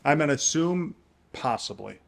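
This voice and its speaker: A man's voice: fairly high pitch, animated, normal speed, gravelly. A man co-hosting a book channel, talking in casual, informal chat with a friend during a guessing game.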